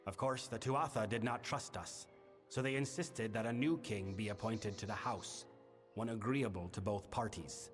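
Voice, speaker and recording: A male actor voicing a grave, burdened fae king in a fantasy game, speaking formally and in a measured, solemn manner. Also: on the low side, natural voice. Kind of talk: scripted fantasy game dialogue. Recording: long reverberant hall, music behind. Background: music.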